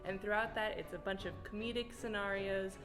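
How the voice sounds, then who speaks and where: A woman's voice: medium pitch, fairly even intonation, a little fast. A woman giving a descriptive interview answer. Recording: long reverb, music behind.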